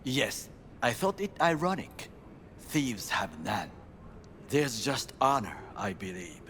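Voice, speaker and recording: An actor playing a grave, proud middle-aged Japanese ex-bodyguard in a video game, speaking formal, clipped English with a Japanese accent. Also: male voice, mid-range voice, sing-song, slow, fairly bright tone, a little hiss or hum. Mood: stern, guarded. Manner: formal, clipped, stern phrasing. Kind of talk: video game dialogue acting.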